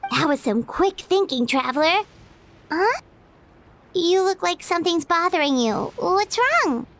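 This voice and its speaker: Very high squeaky little fairy girl. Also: sing-song, a little slow, a bit bright, slight background noise, full character voice. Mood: curious. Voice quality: squeaky, childlike. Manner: chatty, refers to herself by name. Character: tiny excitable floating girl fairy companion, childlike. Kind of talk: anime game voice acting.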